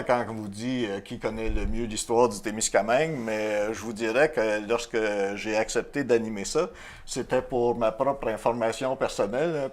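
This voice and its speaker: A middle-aged man with a Québécois French accent, hosting a TV quiz show in a chatty, jokey, self-deprecating way. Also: mid-range voice. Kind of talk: casual game show hosting.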